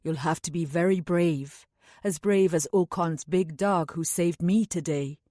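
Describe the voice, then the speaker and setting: A woman reading an audiobook voices a grave, commanding man who speaks gently and slowly to a child. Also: no room sound, studio quality, close to an everyday voice. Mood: gentle coaxing. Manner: deep, slow, authoritative yet gentle.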